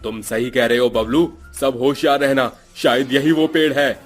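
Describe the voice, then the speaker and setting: A man's voice: mid-range voice, steady tone, moderate pace, faint music behind, natural voice. A man doing a stern village headman. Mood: wary. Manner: commanding and firm. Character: stern middle-aged male village headman.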